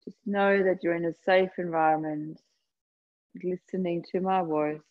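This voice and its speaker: A woman leading a guided meditation, speaking gently and unhurriedly in short phrases with long pauses between them. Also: lowish voice, fairly even intonation, phone-quality sound. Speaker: yoga nidra meditation guide. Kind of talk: guided meditation, slow soothing instruction.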